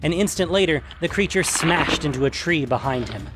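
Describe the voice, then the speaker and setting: A man's voice: on the high side, expressive, normal speed, noisy background. A man narrating a scripted fantasy adventure story.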